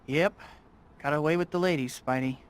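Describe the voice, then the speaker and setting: A man's voice: on the high side, normal speed, a little hiss or hum, natural voice. A young American man voicing a glib, awkward young superhero in a video game, with music behind him. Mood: cocky, teasing. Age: young adult. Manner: glib, quipping. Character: wisecracking, awkward young male superhero and photographer.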